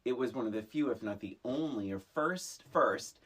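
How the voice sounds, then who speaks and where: A middle-aged American podcast co-host chatting casually about film in an animated, halting, emphatic way, with a husky voice. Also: mid-range voice.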